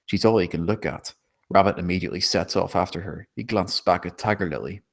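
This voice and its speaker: A man's voice: low-pitched, a little fast, gravelly. A man narrating a screenplay, reading out stage directions at a table read.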